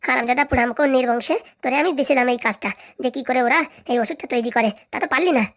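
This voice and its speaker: High-pitched, rapid-fire domineering cartoon wife, acted by a woman. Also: a bit bright. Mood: scolding. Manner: scolding, threatening, boastful. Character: domineering, scheming, foul-mouthed middle-aged wife. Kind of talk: comic cartoon voice acting.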